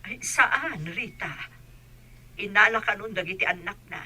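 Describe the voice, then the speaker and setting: A woman's voice: mid-range voice, expressive, normal speed, bright, forceful tone, husky, heavily acted. A woman playing a frank older aunt in a radio drama, admonishing in a concerned way.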